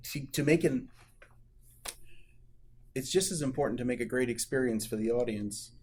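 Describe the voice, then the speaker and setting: A man's voice: medium pitch, darkish tone, a little hiss or hum. A man speaking earnestly and with emphasis as a musician explaining his craft in an interview. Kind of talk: candid interview talk about music.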